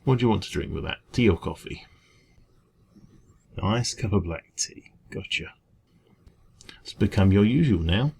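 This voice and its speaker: A man with an English accent playing a kind café dragon in an ASMR roleplay, talking gently and reassuringly in a creaky voice. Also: fairly low pitch, lively intonation, unhurried, pauses often, slight background noise. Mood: friendly, welcoming. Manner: gentle, reassuring, hesitant conversational encouragement. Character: kind, caring male dragon who runs a café. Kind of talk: ASMR roleplay, comforting one-to-one talk.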